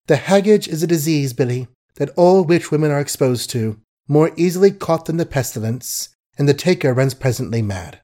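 A man's voice: fairly high pitch, animated, normal speed, hardly any pauses, warm tone, pitched above the performer's own voice, close to an everyday voice. A man voicing a shrewd, worldly society woman in an audiobook, with an earnest, emphatic and dramatic delivery. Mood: scornful. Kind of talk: audiobook character acting.

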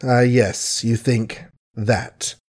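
A man's voice: fairly high pitch, slow pace, a little mellow, voice pushed up. A man voicing a desperate young man who pleads with a shaking, cracking voice in an audiobook. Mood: pleading. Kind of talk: audiobook character voice.

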